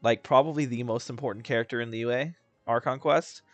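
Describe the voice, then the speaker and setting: A man's voice: mid-range voice, fairly even intonation, brisk, dry recording, soft background music. A young man casually commenting while playing a video game, rambling and chatty with many restarts. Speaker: gaming video host.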